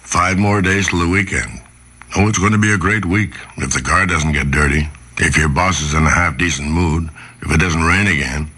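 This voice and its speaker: A man's voice: low-pitched, pushed and bright, husky. A man giving a wry, folksy, conversational commercial read in the style of 1960s radio, on a vintage aircheck.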